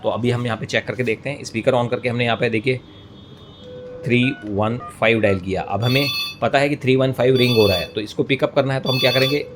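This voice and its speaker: A man's voice: medium pitch, fairly even intonation, a little fast, music in the background. An Indian man presenting a step-by-step technical tutorial, mixing English terms into his speech and often rephrasing as he explains. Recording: clear background noise, room ambience.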